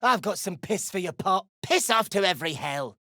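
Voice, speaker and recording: A woman playing a foul-mouthed, defiant gnome in a video game, with a brash, gruff and sardonic delivery. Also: fairly low pitch, lively intonation, moderate pace, sharp tone, no echo, studio quality. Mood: furious.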